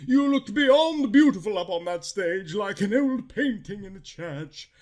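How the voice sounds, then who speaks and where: A man's voice: high voice, animated, measured pace, pitched above the performer's own voice. A man voicing a lovesick, mournful teenage vampire boy in florid, mock old-fashioned speech for a parody story. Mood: adoring. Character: lovesick archaic-talking male teen vampire, melodramatic and mournful.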